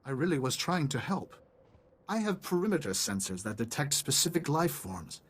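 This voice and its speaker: A man's voice: a little high, very animated, normal speed, slightly rough. A man playing an eager, polite ambassador in a video game, chatty and apologetic in manner.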